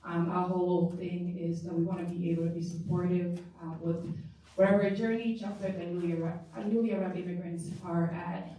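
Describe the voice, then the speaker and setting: A woman's voice: fairly low pitch, little pitch movement, fairly quick, mellow. A woman from Rwanda, a community engagement manager, talking conversationally on a panel with restarts. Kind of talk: panel introduction. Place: large room at a public forum. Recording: echoey room, distant mic.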